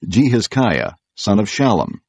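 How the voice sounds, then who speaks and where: A man with a deep, husky voice narrates scripture as solemn, measured storytelling. His intonation is richly varied. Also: very animated, a little mellow, no room sound.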